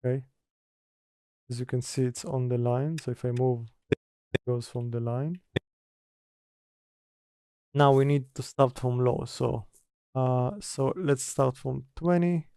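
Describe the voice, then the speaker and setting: A man giving a casual software tutorial, hesitant and thinking aloud as he works. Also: mid-range voice, creaky, no room sound, studio quality. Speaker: tutorial presenter. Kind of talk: casual software tutorial, talking through steps.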